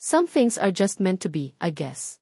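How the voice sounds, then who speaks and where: A woman's voice: on the low side, big pitch swings, a little slow, mellow, husky, no room sound, clean studio sound, exaggerated voice. A woman with a Filipino accent playing a proud but hurt young wife in an audio romance drama, guarded and clipped. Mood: guarded, defensive.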